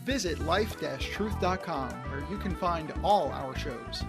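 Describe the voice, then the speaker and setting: An announcer reading scripted closing credits in an even, formal way. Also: mid-range voice, unhurried. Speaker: show announcer. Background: clear guitar music.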